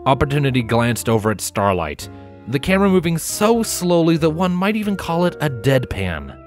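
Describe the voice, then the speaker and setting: A man's voice: fairly high pitch, very animated, normal speed, soft background music. A man narrating a scripted story as an audiobook-style reader.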